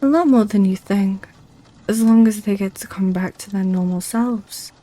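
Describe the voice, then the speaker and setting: A woman playing a playful, seductive succubus in an ASMR roleplay, with a breathy, whispery, coaxing delivery. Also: mid-range voice, warm tone, close to an everyday voice. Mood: gleeful, seductive. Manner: teasing, coaxing, slow. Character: playful, teasing female succubus, seductive and possessive.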